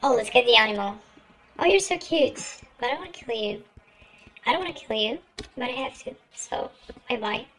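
A man's voice: animated, moderate pace, long pauses, pushed and bright, a little hiss or hum, exaggerated voice, low-quality recording. A young man voicing a little girl through a real-time voice changer, giving casual game commentary. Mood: playful. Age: young adult. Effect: real-time pitch-raising voice changer.

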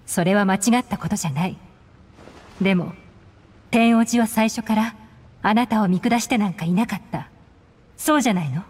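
A woman voicing a cool, shrewd female agent in an anime game, composed, measured and pointed. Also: mid-range voice, darkish tone, husky, lightly acted. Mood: cool reproach. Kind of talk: anime video game voice acting. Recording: long hall reverb, game music behind. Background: background music.

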